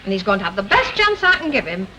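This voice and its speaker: A woman's voice: medium pitch, sing-song, fast, close to an everyday voice. An actress playing a fussing, devoted middle-aged working-class mother with a Northern English accent in a 1960 television drama. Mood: insistent. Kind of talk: 1960s British television drama acting. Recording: noisy 1960 television soundtrack.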